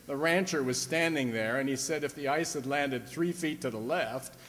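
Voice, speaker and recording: A man's voice: mid-range voice, normal speed, fairly bright tone, close to an everyday voice. Man playing a genial, proud weatherman, echoing hall. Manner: genial, polite, fussy. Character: proud, chuckling, hapless amateurish weatherman. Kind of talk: old-time radio comedy acting.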